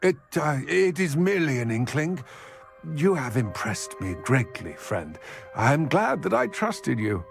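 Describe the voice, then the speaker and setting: Gravelly, weary older goblin. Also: male voice, medium pitch, sing-song. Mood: pleased, grateful. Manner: formal, measured, grave. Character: weary older male goblin, cautious and courteous. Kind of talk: video game cutscene acting. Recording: music under the voice. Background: music.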